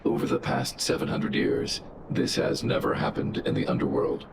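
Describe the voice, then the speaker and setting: A man voicing a huge, calm, logical male robot who speaks in a flat, formal, machine-like way, in a game cutscene with loud music. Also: normal speed, background noise, close to an everyday voice. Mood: cold, logical.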